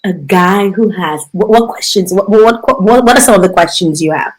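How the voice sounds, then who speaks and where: A woman's voice: medium pitch, moderate pace, poor audio. A woman from Dominica with a Dominican accent, hosting a live interview, giggly and reading viewer comments aloud. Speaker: interview host.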